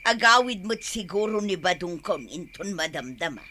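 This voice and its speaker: A woman's voice: on the low side, expressive, moderate pace, bright, forceful tone, a little hiss or hum. A woman playing a suspicious, scolding wife with an Ilocano accent in a theatrical radio comedy drama. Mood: suspicious, fretting. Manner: theatrical, interrogating, exclaiming. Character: nagging wife, suspicious, quick to scold and wail. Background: music.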